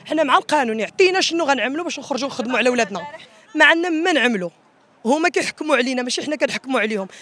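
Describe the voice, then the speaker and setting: A woman's voice: high voice, a bit bright. A woman in her thirties with a Moroccan accent giving impassioned, emphatic protest testimony into a handheld microphone outdoors, often repeating herself. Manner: emphatic, repetitive. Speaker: protesting wedding musician. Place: outdoors in a city square. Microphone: handheld reporter microphone.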